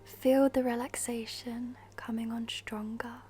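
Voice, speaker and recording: Female meditation guide: mellow, breathy half-whisper, even pitch, soothing scripted delivery with long pauses. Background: music.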